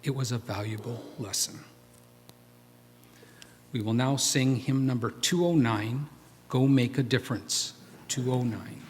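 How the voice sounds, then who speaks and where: Male church reader, mid-pitched, delivering a written testimony to a congregation in a big, reverberant space. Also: measured pace, pauses often, darkish tone. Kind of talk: reading a personal story aloud to a congregation. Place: church hall. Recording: echoey hall with long reverb.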